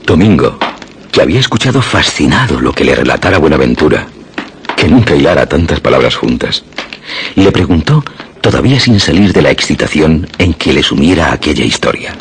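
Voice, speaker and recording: Very deep male radio drama narrator. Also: big pitch swings, fairly quick, vocal fry, a little hiss or hum. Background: music.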